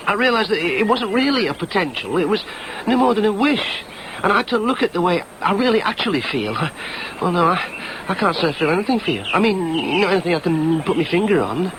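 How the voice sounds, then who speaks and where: A man's voice: high-pitched, background noise. An actor playing an earnest, apologetic young man in a radio drama, awkwardly honest and hesitant, stammering over his words. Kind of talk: naturalistic radio drama acting.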